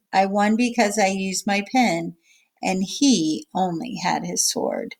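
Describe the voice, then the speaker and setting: A woman teacher reading a picture book aloud and explaining it simply and casually to children, often checking that they follow. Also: mid-range voice. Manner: explains simply, checking in with okay and all right.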